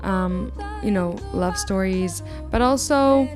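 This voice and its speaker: A woman from Israel with an Israeli accent, a guest vocalist chatting in an interview, thoughtful and hesitant with many pauses. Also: medium pitch, music in the background. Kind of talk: casual interview chat.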